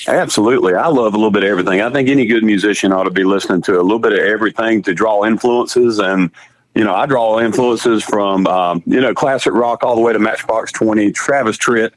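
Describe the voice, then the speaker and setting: A raspy-voiced man with a Southern country accent, a musician calling in and telling his story in a relaxed, chatty way. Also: on the low side, fast talker, no echo, rough recording. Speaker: musician guest on the phone.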